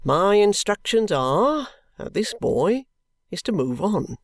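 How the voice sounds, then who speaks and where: A reader voicing a stern, unyielding police constable who speaks slowly and firmly. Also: medium pitch, big pitch swings, dry recording, voice pushed up. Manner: firm, slow and weighty.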